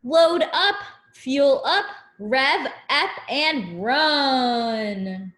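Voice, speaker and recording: A woman with a high voice reads a picture book to small children in a slow, unhurried way. Her intonation swings widely and expressively, and she speaks simply, as a teacher does to young pupils. The room adds a noticeable echo. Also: lively intonation, fairly bright tone. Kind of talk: children's read-aloud with asides to the listeners.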